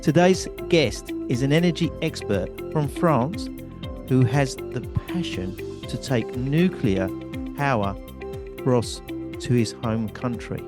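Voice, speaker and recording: A man's voice: medium pitch, expressive, takes it slowly, music in the background. A man hosting an interview podcast, introducing and questioning in a presenter's style. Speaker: podcast host and founder.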